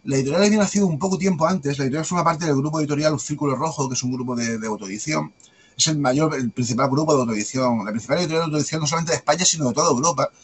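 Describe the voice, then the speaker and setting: A man with a light Andalusian accent, a guest talking at length in a rapid, discursive way and tagging on questions. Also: on the high side, a little hiss or hum. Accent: light Andalusian Spanish. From Andalusia, Spain. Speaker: guest, publisher and author. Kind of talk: conversational interview answers.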